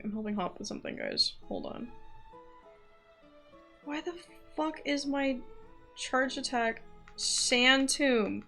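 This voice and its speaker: A woman's voice: fairly high pitch, creaky, a bit bright. A woman livestreaming a game, chatting casually and distractedly, swearing now and then and reading dialogue aloud. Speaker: streamer.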